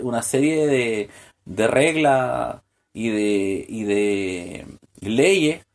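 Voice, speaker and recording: A man's voice: medium pitch, darkish tone. A man delivering a solo political podcast monologue, full of hesitant fillers and restarts, building to pointed rhetorical questions. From Chile. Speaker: podcast host.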